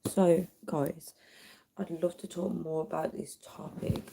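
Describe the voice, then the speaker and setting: A tired woman, her voice nearly gone, speaking softly and earnestly as she chats with viewers on a live stream from her home at night. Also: sits low, fairly even intonation, takes it slowly, gravelly, breathy edge. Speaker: livestreamer. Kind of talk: casual live chat reading viewer comments. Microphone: built-in device mic, no dedicated microphone.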